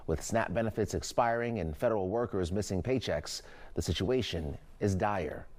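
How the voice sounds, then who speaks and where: Low-pitched American male news anchor. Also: moderate pace, a little mellow, a little hiss or hum. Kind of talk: scripted news narration.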